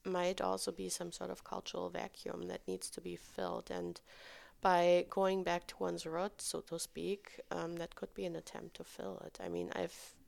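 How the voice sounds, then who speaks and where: Gravelly-voiced German woman, thoughtful. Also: lowish voice, darkish tone. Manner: thoughtful, measured. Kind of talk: conversational discussion.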